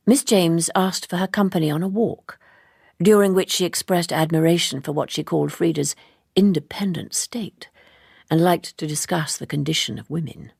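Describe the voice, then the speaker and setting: Low-voiced woman reading a novel. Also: vocal fry, a little mellow, husky, no room sound, clean studio sound. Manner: measured, formal, precise storytelling. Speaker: audiobook narrator. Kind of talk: literary scripted narration.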